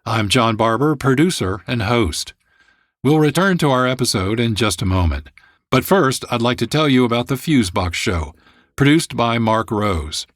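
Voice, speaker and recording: A man presenting a radio show as host from a script, measured and articulate. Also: medium pitch, lively intonation, no echo, studio quality. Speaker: producer and host.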